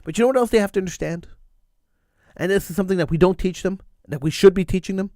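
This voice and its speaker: A middle-aged man with a fairly high voice delivers a fervent talk radio monologue. He hammers his points by repeating phrases, and a slight cold wears on his voice. The studio microphone sound is dry and close. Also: fast talker, darkish tone, no room sound. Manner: emphatic, repeats phrases for stress. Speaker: radio talk show host.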